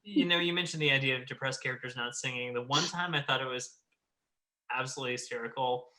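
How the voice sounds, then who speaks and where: A man's voice: mid-range voice, quick pace, bright, forceful tone, rough recording. A man in a casual group discussion, talking in a wry, emphatic way as a host.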